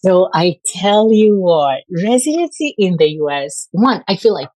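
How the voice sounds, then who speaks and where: A woman's voice: lowish voice, animated, unhurried, a little mellow, no echo. A woman from Kenya with a Kenyan accent, a physician guest talking casually in an interview and using lots of "like" fillers. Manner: chatty, frequent "like" fillers. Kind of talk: conversational interview.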